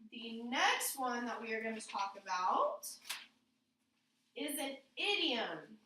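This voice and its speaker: A woman teacher explaining a lesson to her pupils, often pausing to check in with questions. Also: a little high, sing-song, sharp tone, slight background noise. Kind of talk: classroom lesson on figurative language. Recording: roomy echo, distant microphone.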